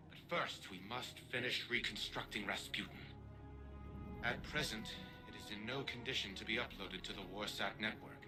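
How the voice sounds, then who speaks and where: A man's voice: on the low side, natural voice, low-quality recording. An actor playing a pompous, imperious male scientist in a dramatic game cutscene, speaking with haughty disdain. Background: music.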